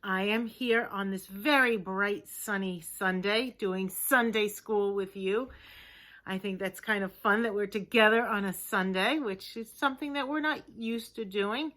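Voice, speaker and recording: A woman teaching young children in a lesson to camera, speaking simply and encouragingly with deliberate, emphatic phrasing. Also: mid-range voice, a bit bright.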